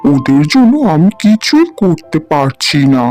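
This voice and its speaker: A woman's voice: low voice, very animated, unhurried, darkish tone, faint music behind. A woman voicing a kindly, mournful talking tree in a cartoon fairy tale, speaking earnestly. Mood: sorrowful. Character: sorrowful, kindly fruitless tree that talks.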